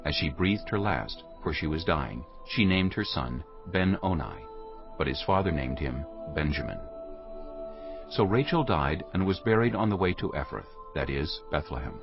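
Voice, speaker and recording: A man's voice: a bass voice, big pitch swings, normal speed, gravelly. A man narrating scripture from a script in a solemn storytelling manner. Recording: muffled audio under music. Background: music.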